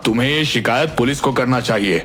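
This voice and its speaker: A man playing a reluctant, placid village headman in a cartoon, measured and noncommittal. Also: medium pitch, a bit bright, close to an everyday voice. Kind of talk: animated cartoon character acting. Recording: music bed under the voice.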